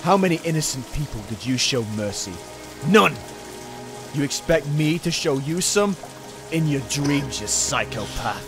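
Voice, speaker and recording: A man's voice: on the high side, expressive, measured pace, over music. A man playing a hard, vengeful police detective in an amateur radio play. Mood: cold anger.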